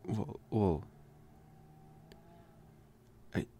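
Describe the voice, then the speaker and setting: A young man playing a protective bully with a secretly tender side in an intimate ASMR roleplay, speaking softly and haltingly with gruff care. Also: close to an everyday voice. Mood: bashful, tender. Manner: halting, soft-spoken, gruffly caring.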